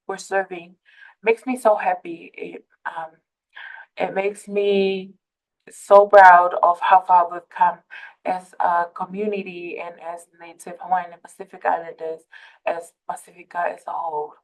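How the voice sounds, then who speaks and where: A woman's voice: fairly low pitch, flat delivery, unhurried, low-quality recording. A woman from Tonga with a Tongan accent whose first language is Tongan. She gives a webinar talk and hesitates often, with many fillers and restarts. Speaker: presenter for a behavioral health center. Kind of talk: webinar presentation.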